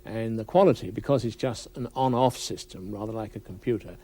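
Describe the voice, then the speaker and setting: A man giving a measured technical explanation as an interviewed audio expert. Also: on the low side, dark tone, breathy edge.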